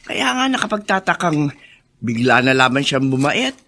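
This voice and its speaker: A woman's voice: extremely low pitch, big pitch swings, normal speed, bright, forceful tone, full character voice. A woman playing an older, wary and protective hacienda servant in a Filipino radio drama, speaking emphatically. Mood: wary, warning. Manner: emphatic, cautioning.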